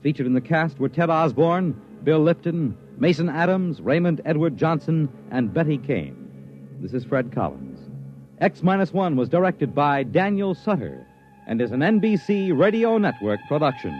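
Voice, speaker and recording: A man's voice: fairly high pitch, very animated, normal speed. A man formally reading the closing credits of a 1950s radio program. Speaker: radio announcer. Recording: dull vintage radio recording with loud music.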